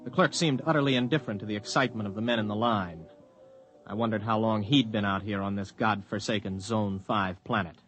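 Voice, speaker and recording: Man as a low-voiced, blunt spaceman. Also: brisk, fairly bright tone, faint music behind, close to an everyday voice. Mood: narrating, weary. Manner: plain-spoken, terse. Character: weary, blunt veteran spaceman, a flight officer. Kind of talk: 1950s radio drama acting with first-person narration.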